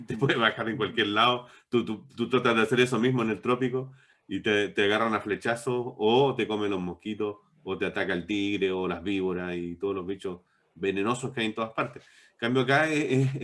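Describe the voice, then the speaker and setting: A middle-aged man talks reflectively in an interview, in a discursive, halting way with repeated words. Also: on the low side, fairly bright tone. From born in Bolivia, moved to Chile as a child. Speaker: interviewed novelist.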